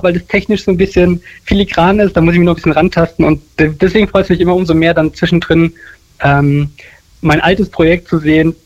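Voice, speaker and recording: Man, enthusiastic and effusive, fairly high voice, casual interview, muffled lo-fi recording. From Germany. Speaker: documentary filmmaker being interviewed.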